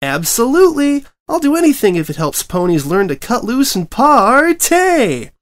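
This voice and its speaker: A man voicing an excitable young female pony from a cartoon fan story, gushing, exuberant and playful. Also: very high-pitched, moderate pace, pitched above the performer's own voice. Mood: excited.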